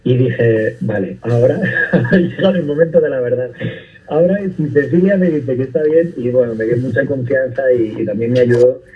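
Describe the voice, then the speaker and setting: A man's voice: medium pitch, normal speed. A man, an actor being interviewed on the radio, explaining his work thoughtfully at length with small restarts. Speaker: actor guest. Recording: phone-like line.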